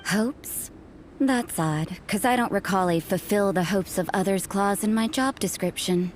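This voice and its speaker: A woman's voice: medium pitch, measured pace, a little hiss or hum, close to an everyday voice. A woman voicing an aloof, sardonic young church sister in a video game, dry and cutting. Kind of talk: video game cutscene dialogue.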